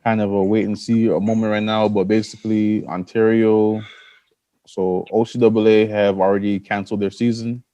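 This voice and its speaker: Guy coach chatting on a podcast, lowish voice, dry close sound. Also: level tone, moderate pace, mellow, no room sound, dull audio. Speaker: college basketball coach on a podcast panel. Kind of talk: casual chat.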